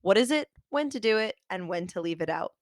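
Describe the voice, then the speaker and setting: A woman podcast host and author, sounding nasal and congested from a cold, giving a measured, scripted lesson on fiction writing. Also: mid-range voice, expressive, dry recording, clean studio sound.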